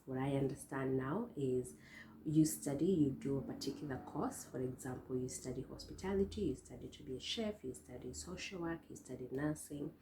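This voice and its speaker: A young woman with a hoarse voice hosting her own vlog, chatting casually to viewers with lots of fillers and restarts. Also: rumbling low, brisk, noisy background. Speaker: vlogger host. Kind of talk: casual talk to camera. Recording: echoey room.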